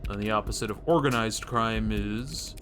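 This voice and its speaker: A man's voice: fairly low pitch, normal speed, a bit bright, faint music behind, pitched below the performer's own voice, close to an everyday voice. A man voicing a blunt, world-weary streetwise cop in a noir game, with a matter-of-fact, wry delivery. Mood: grim, cynical. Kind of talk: reading noir game dialogue aloud.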